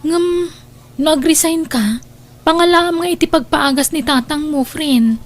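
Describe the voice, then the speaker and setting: A woman's voice: sits high, unhurried. A woman playing a caring, inquisitive young confidante in an Ilocano audio drama, exclaiming and questioning, with an Ilocano accent. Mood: surprised, sympathetic.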